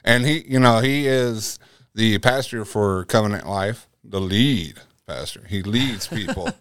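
Guy hosting a podcast, lowish smooth voice, dry close mic, jokey and rambly. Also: unhurried, fairly bright tone, no room sound. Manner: joking, rambling, self-correcting. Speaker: podcast host. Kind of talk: casual chat.

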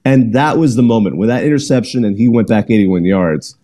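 A man's voice: lowish voice, fairly quick, warm tone. A man hosting a live call-in sports talk show, chatty and rambling, often laughing between thoughts. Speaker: host.

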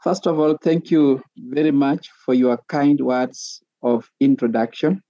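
A middle-aged man from Kenya, a pastor and theology professor, speaking as a podcast guest in a measured, methodical way with frequent pauses. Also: mid-range voice, fairly even intonation, dark tone, no room sound, lo-fi sound.

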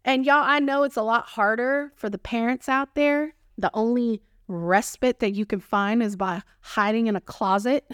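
A woman in her thirties with a Texan accent, hosting a solo podcast in a playful, expressive way with casual slang. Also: on the high side, moderate pace, hardly any pauses, smooth, dry recording, studio quality. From Texas, United States. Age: 30s. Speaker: podcast host.

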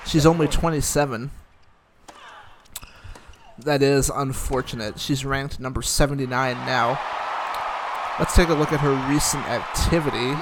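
A man's voice: mid-range voice, measured pace, long pauses, very noisy. A man giving casual watch-along commentary, matter-of-fact and thinking aloud, sometimes reading aloud. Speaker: reaction host commenting on a documentary.

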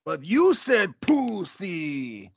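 A man playing a crude, belligerent Russian champion wrestler who speaks halting broken English in a gravelly voice, in a comedic audio play. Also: high voice, wildly swooping pitch, no room sound, highs cut off, full character voice. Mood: baffled. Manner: halting, repetitive, profane.

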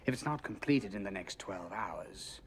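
An actor playing a driven middle-aged scientist obsessed with time, speaking in a measured, brooding way. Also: male voice, lowish voice, animated, vocal fry.

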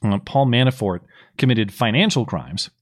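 A slightly hoarse man co-hosting a podcast, recapping political news in a casual, explanatory way with plenty of fillers. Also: mid-range voice, lively intonation, moderate pace. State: slightly hoarse, losing his voice. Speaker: podcast co-host.

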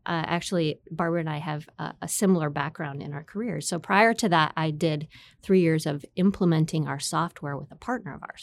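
A middle-aged woman, a marketing executive guest, speaking in an upbeat, friendly way in an interview. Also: low voice. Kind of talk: conversational interview.

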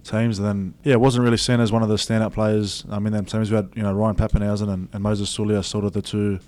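Laid-back young Australian man, flat voice. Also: lowish voice, quick pace. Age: young adult. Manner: laid-back, many fillers and restarts. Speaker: rugby league player, guest. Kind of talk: casual interview answers.

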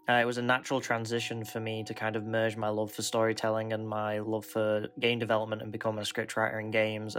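A man's voice: fairly low pitch, a bit bright, clean tone. A man who writes scripts, giving a casual, unscripted testimonial with a somewhat hesitant, loose delivery.

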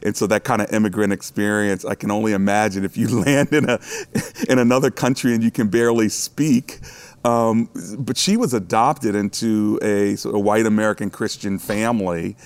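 A man's voice: on the low side, steady tone, normal speed, smooth. A man hosting a church interview, pastoral and encouraging, turning to address listeners directly. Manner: pastoral, encouraging, prays aloud.

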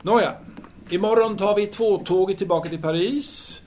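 A man playing a brooding, talkative 1930s Parisian philosopher in an audio drama, musing haltingly and formally. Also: high-pitched, a little mellow, slight background noise, close to an everyday voice.

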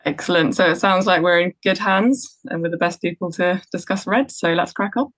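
Host: young woman, clear scripted delivery, dry close sound. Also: lowish voice, normal speed, dry recording. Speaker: podcast host, junior doctor. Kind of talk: podcast interview hosting.

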